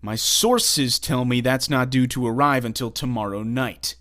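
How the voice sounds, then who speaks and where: A man's voice: medium pitch, measured pace, a bit bright, natural voice. A man playing a confident, commanding young costumed vigilante in a comic dub, cool, assured and condescending. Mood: calm, commanding. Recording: faint music behind the voice.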